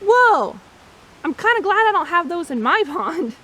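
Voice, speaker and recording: A woman reading a nature storytime for children as host, playful and expressive, often asking her listeners questions. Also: very high voice, unhurried. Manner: expressive, playful, asks listeners questions, imitates animal sounds. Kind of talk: read-aloud children's nature storytime with asides.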